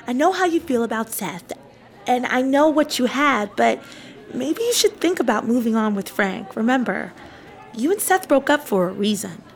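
A woman plays a teenage high school girl in a radio drama. Her voice is fairly high and very animated, with an emphatic, questioning delivery. She comes across as a protective, teasing, straight-talking best friend. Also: moderate pace. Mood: skeptical, concerned.

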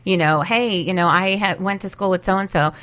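Chatty woman with a lowish voice. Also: moderate pace, fairly bright tone. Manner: chatty, illustrates points with acted-out quotes. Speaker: guest resume expert. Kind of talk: casual advice in a panel discussion.

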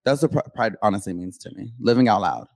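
A man's voice: lowish voice, fast talker, darkish tone, no echo, studio quality. A man as a talk show guest, chatty and sassy. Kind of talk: casual chat.

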